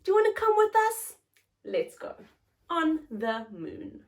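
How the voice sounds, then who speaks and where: A woman's voice: very high voice, big pitch swings, unhurried. A woman hosting a story time, reading aloud expressively to young children in simple phrases and putting questions to them.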